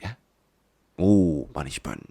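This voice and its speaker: Give the doting husband of an ASMR roleplay , acted by a man, a very low voice that lingers slowly over his words. He teases and coaxes like a big babyish boss, sometimes slipping into a whisper, all recorded dry and close. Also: very animated, gravelly, warm tone, breathy edge, dry recording, full character voice. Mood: doting, teasing. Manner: coaxing, playful, drops into whispers. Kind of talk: intimate boyfriend ASMR roleplay.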